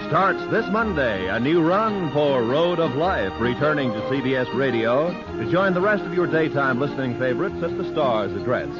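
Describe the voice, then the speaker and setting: A man's voice: mid-range voice, animated, normal speed, hardly any pauses. A man announcing in formal, declamatory 1950s network radio style, reading from a script. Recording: dull old 1950s radio broadcast, music behind.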